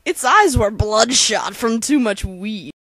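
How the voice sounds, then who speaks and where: A woman's voice: on the high side, lively intonation, slow, fairly bright tone, no echo. A woman co-narrating a fan-made comedy spoof, telling the story in a slangy, mock-dramatic way. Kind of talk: comic storytelling narration of an abridged game parody.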